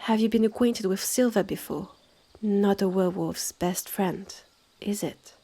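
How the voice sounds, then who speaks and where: Woman doing a witch's sister for roleplay audio, french accent, breathy n husky, slow, haughty, guarding her land. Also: mid-range voice, dark tone. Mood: cool, knowing. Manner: slow, measured, condescending, with breathy drops to near-whisper. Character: haughty, territorial witch's sister running a secretive woodland hotel. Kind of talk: scripted roleplay audio drama.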